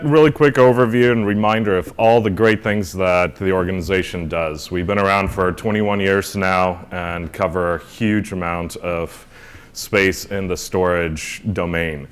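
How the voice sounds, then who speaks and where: A man's voice: low-pitched, unhurried, clean tone. A man, the executive director of an industry group, opening a conference with an upbeat, emphatic welcome speech on a hall stage into a podium mic. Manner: upbeat, emphatic opener, pushing his voice to carry. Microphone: handheld or podium mic.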